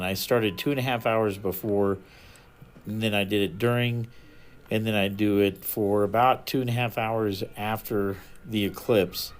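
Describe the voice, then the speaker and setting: A man giving a casual, unscripted, matter-of-fact explanation as a hobby radio vlogger, speaking haltingly. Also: on the low side.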